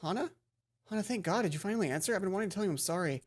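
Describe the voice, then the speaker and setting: A woman voicing a remorseful teenage girl pleading earnestly and haltingly with an estranged friend. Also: medium pitch, lively intonation, natural voice. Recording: background game music.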